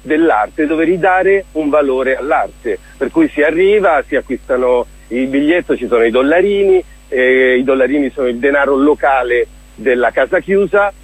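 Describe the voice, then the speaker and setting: A man being interviewed on the radio, talking with open enthusiasm and expression. His mid-pitched voice is a little husky and unpolished, and the sound is dry and close. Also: expressive, moderate pace, hardly any pauses, dry recording. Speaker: interview guest, theatre director. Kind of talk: radio interview, casual promotional talk.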